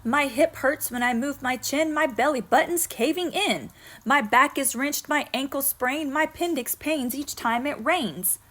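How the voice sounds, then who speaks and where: A woman's voice: high-pitched, unhurried, a bit bright. A woman voicing a melodramatic little girl who whines and complains with exaggerated emphasis in a children's poem. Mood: whiny, dramatic. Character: whiny, melodramatic little girl faking sick. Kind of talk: children's poem read aloud.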